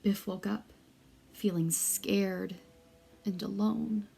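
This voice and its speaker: A woman reading a children's story aloud expressively, with deliberate, dramatic emphasis for young listeners. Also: mid-range voice, steady tone, vocal fry, dark tone, breathy edge.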